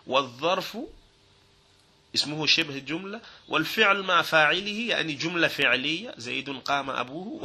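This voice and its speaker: A man's voice: on the high side, bright, forceful tone. A man teaching Arabic grammar in a recorded lesson, explaining step by step through worked examples and asking and answering his own questions.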